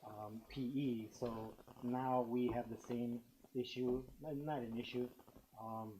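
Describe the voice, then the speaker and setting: A man's voice: medium pitch, fairly even intonation, slow pace, warm tone, slight background noise, poor audio. A man presenting a staffing request to a school board, casual and chatty. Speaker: school administrator.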